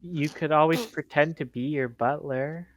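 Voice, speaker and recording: A skeptical teenage adventurer from a tabletop roleplay voiced by a player, quick to scheme. The delivery is blunt and questioning, picked up dry and close. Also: medium pitch, no echo, lightly acted, poor audio. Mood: practical.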